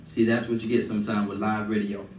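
A man's voice: lowish voice, flat delivery, fast talker, darkish tone. A middle-aged man hosting casual live radio, often repeating himself. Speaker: live radio show host. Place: small podcast studio with foam panels. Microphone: boom microphone. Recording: echoey, distant, noisy room.

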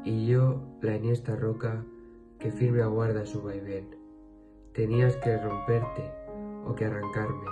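A man reciting romantic verse in a measured way. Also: on the low side. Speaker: poetry reciter.

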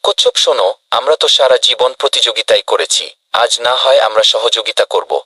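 Man voicing a husky, forceful cartoon rabbit. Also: medium pitch, brisk, dry recording. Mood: earnest. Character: male cartoon rabbit, anxious, talkative, friendly. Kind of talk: dubbed children's cartoon dialogue.

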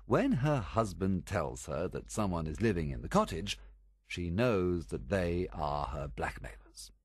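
A man reading a story aloud as a calm, reasoning detective, explaining a theory in a measured way. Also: sits low, husky, no room sound, studio quality, full character voice.

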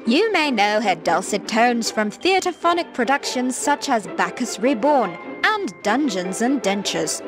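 Animated female old-time radio announcer over music. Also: a little high, measured pace, few pauses. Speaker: advertisement announcer.

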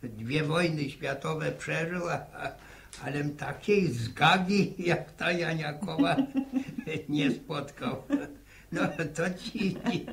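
A man's voice: on the high side, slow, gravelly, a bit bright, full character voice. An actor playing a crotchety, teasing old village grandfather in a 1960 radio serial, stammering in folksy rural speech. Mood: teasing, grumbling. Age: older. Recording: hissy old radio drama recording.

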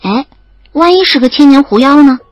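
An actress playing a flustered, playful young student in love in an audio drama, tending to stammer when awkward. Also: female voice, high-pitched, expressive, normal speed, no room sound, lo-fi sound. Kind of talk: first-person audio drama narration and dialogue.

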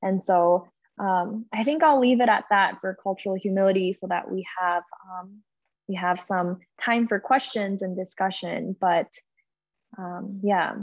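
A woman's voice: medium pitch, measured pace, dry recording. Young woman on a dull video call. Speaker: webinar panelist. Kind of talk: explanatory panel talk. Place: indoor room, on a video call.

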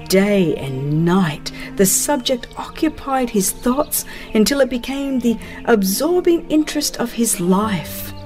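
A woman with a mid-pitched voice narrates a religious audiobook over music. Her delivery is solemn and scripted, with measured, deliberate phrasing. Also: a little mellow.

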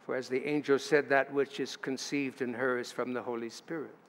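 A man's voice: mid-range voice, steady tone, brisk. An older man, a pastor, delivering a sermon at a lectern in a church sanctuary, reading scripture aloud and adding storytelling asides. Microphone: lectern mic. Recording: church livestream with long reverb.

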